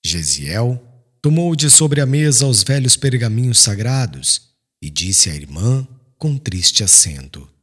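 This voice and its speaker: A man's voice: medium pitch, sing-song, measured pace, a bit bright, slightly rough. A man narrating an audiobook in a solemn, emotive and formal literary style. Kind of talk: dramatic scripted literary narration.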